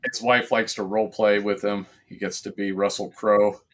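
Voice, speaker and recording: Bright-voiced man in casual podcast chat. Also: on the low side, normal speed. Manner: rambling, profane, animated storyteller. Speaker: podcast co-host.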